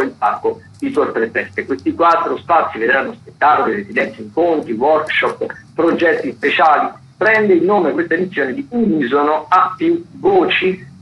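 Halting male radio host, dull sound. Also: mid-range voice. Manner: hesitant, halting, searching for words. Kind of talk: informal spoken arts listings on radio. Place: radio studio. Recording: dull, lo-fi radio recording, background noise.

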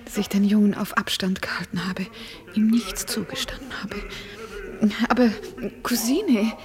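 A woman's voice: mid-range voice, measured pace, a crowd in the background, close to an everyday voice. An actress playing an anxious, deferential noblewoman in a radio drama, refined and pleading in manner. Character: anxious, deferential provincial noblewoman, eager to please her cousin. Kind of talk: literary radio drama acting.

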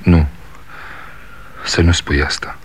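An actor playing a stubborn, defiant younger son in a 1960s radio drama, speaking insistently. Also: male voice, sits low, level tone, slightly rough.

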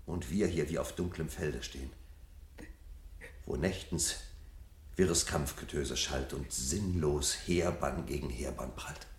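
A man's voice: a bass voice, takes it slowly. An actor playing an agitated, bitter, rebellious fireman and husband in a 1970s radio play, speaking in an intense, declamatory way. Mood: solemn, mournful. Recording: roomy studio radio play sound.

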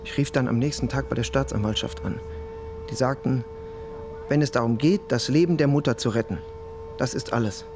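An actor playing a shaken, grieving police detective who speaks haltingly and defensively in a radio crime drama. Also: male voice, medium pitch, music in the background, natural voice. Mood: subdued, troubled. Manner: hesitant, halting, repeating himself.

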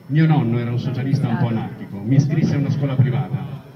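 A man giving an expressive reading of a written first-person memoir. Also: mid-range voice, quick pace. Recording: distant, dull recording amid crowd noise.

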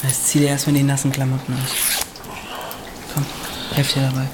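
A man's voice: mid-range voice, completely flat delivery, normal speed, noisy background, close to an everyday voice. An actor playing a worried, coaxing young man in a naturalistic radio drama, speaking in clipped, urgent pleas to his father. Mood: placating. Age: young adult. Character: worried, coaxing grown son, impatient but caring.

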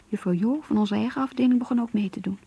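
A woman's voice: on the high side, quick pace, gravelly, dark tone, slightly rough, dull audio, full character voice. An actress playing an earnest, idealistic young office worker who confides her troubles in an introspective radio play monologue. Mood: distressed, recounting. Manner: introspective, pleading, searching.

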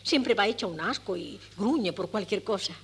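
An actress playing an excitable, scheming middle-aged mother in a Spanish radio comedy, with a Castilian accent and a fussy, exclamatory delivery. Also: mid-range voice, normal speed, natural voice. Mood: gushing, triumphant. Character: meddling, excitable, scheming mother of grown sons. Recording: noisy old radio cassette with hum.

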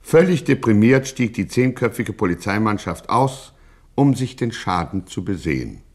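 A man's voice: fairly low pitch, sing-song, normal speed, darkish tone. A man narrating a satirical radio drama in a wry, theatrical, mock-epic style.

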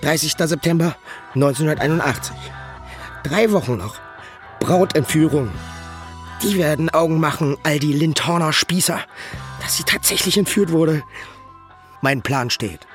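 An actor in a radio drama playing an obsessive, grandiose young poet who reads a diary with self-dramatizing intensity. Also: male voice, on the high side, normal speed, long pauses, faint music behind, lightly acted. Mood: obsessive, brooding.

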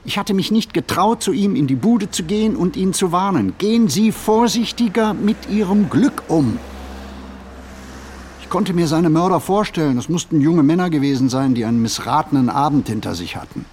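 An actor playing a pensive, anxious man who muses on everyday details in a measured radio play monologue. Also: on the high side, animated, darkish tone, a little hiss or hum, close to an everyday voice. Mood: agitated, fearful. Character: pensive, anxious male city flaneur musing on everyday details.

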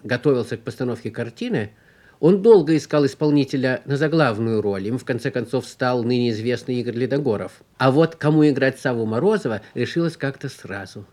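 A man recalling his work as a screenwriter on a radio programme, formal and measured, building long rhetorical lists. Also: mid-range voice, a little mellow. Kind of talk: formal spoken recollection.

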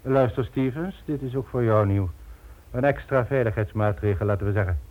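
An actor playing a megalomaniac, smugly polite criminal mastermind in a radio drama, making grandiose, condescending speeches. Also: male voice, mid-range voice, brisk, natural voice. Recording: dull lo-fi old radio recording.

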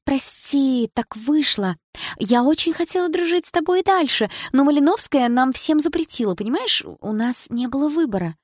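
A woman reading an audiobook, voicing a defensive, resentful teenage schoolgirl who turns shrill and accusing. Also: lively intonation, moderate pace, no echo, clean studio sound. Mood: pleading. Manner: shrill, accusing, near shouting.

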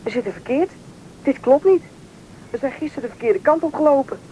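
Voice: high-pitched, expressive, a little fast, warm tone, rough recording. A performer playing an injured, stubborn boy lost in the wilderness, bossy and urgent, in a radio play. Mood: irritated. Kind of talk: Dutch radio play acting. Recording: radio play recording, faint noise.

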